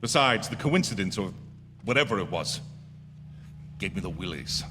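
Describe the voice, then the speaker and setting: A man acting as an uneasy motorist in a radio drama, nervous and sometimes halting as he tells of being haunted. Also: deep voice, expressive, pushed and bright, slight background noise. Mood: haunted, tense. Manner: nervous, halting, stammering under strain. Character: uneasy male motorist haunted by a recurring hitchhiker. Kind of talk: radio drama first-person narration and acting. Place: stage of a theatre. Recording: echoey theatre hall with long reverb.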